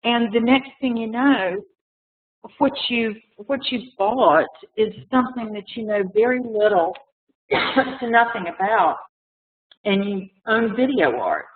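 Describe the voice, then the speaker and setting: A woman's voice: a little high, expressive, slow pace. A woman art collector talking casually in a webinar, rambling with lots of fillers and restarts, and losing her voice. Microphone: video call. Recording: echoey room over tinny video call.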